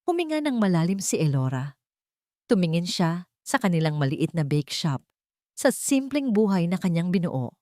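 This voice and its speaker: A woman's voice: on the low side, big pitch swings, normal speed, warm tone, no echo, clean studio sound. A woman storyteller giving a dramatic scripted narration of a romantic tale.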